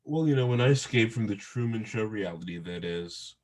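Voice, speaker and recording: A man with a lowish voice co-hosting a podcast. He keeps a straight face while he jokes, relaxed and conversational. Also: brisk. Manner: deadpan joking. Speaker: podcast co-host. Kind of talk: casual chat.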